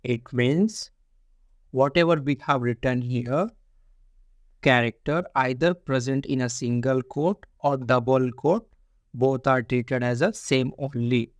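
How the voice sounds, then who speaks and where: A man's voice: medium pitch, slow pace, no room sound. A man teaching programming, explaining step by step and repeating points. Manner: repetitive, explains step by step with frequent "see" and "only". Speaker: programming tutor. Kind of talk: screen-recorded coding lesson.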